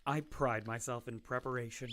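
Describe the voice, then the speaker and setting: A woman's voice: a bass voice, steady tone, moderate pace, a bit bright, a little hiss or hum. A woman playing an awkward, chatty young male doctor who rambles and pokes fun at himself. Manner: rambling, self-deprecating and chatty. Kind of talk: audio drama acting.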